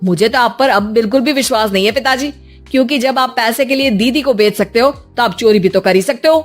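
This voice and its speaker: A woman's voice: fairly high pitch, fairly quick, faint music behind, voice pushed up, natural voice. A woman voicing a callous grown son in a cartoon story, with a harsh, accusing manner. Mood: accusing, angry.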